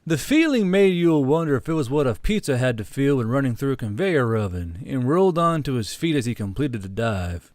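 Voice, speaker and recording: A man's voice: mid-range voice, lively intonation, brisk, few pauses, darkish tone, dry recording. A man reading a scripted fantasy war story as an audiobook narrator, close on the microphone.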